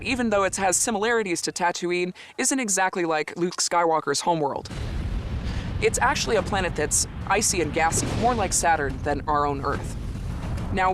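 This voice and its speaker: A man speaking as an expert interviewee in a documentary, explaining in a conversational, enthusiastic way. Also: sits high. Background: background music.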